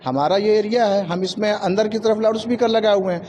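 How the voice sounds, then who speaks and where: A man's voice: high voice, a little fast, mellow, a little hiss or hum. A man giving plain-spoken, explanatory interview answers and repeating his points. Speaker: interviewee, local resident.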